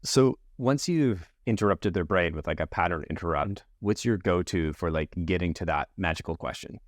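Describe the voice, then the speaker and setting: A man's voice: sits low, normal speed, no echo, clean studio sound. A man hosting a casual interview, prompting his guest with short questions and brief acknowledgements. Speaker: interview host.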